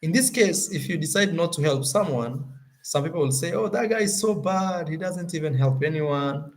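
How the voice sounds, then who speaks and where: A young Kenyan man with a Kenyan accent, talking casually to his viewers as a YouTuber, animated and often searching for words. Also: fairly high pitch, normal speed. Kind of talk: casual vlog talk to camera.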